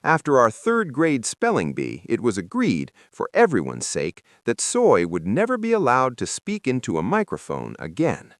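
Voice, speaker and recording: A man narrating a first-person children's story in an expressive, animated storytelling style. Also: mid-range voice, moderate pace, hardly any pauses, no echo, studio quality.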